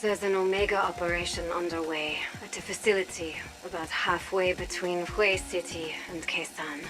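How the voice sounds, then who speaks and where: Actress as determined young female operative, low voice, earnest and confidential, video game drama. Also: steady tone, normal speed, over music, natural voice, low-quality recording. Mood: urgent, confiding. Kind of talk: video game audio log acting.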